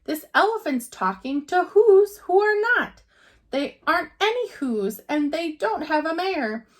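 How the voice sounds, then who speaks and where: Woman as a jeering, high-pitched jungle monkey. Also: big pitch swings, measured pace, full character voice. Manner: jeering, bossy. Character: bullying, shouting jungle monkey brothers.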